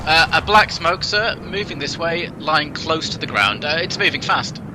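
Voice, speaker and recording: A man's voice: a little high, background noise, close to an everyday voice. A man doing a rattled battlefield spotter with engines behind. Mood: tense. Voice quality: halting, hesitant reports. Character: rattled male field artillery spotter.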